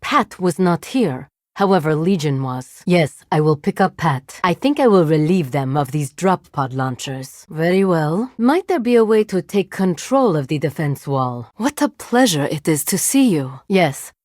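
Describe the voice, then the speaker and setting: A woman playing a composed female cyborg agent with a drone hive in a video game, speaking formally and precisely in measured phrases. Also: deep voice, expressive, a little mellow, dry recording, clean studio sound. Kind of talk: scripted video game voice lines.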